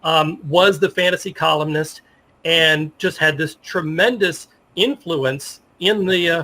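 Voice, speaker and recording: A man's voice: high voice, bright, forceful tone. A middle-aged American man chatting and telling anecdotes as a guest in a casual interview. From United States. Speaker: interview guest, sports journalist. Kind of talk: casual interview conversation, reminiscing.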